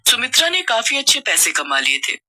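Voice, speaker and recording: A woman narrating a moral story for children in a steady storytelling manner. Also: on the high side, a little fast, background noise.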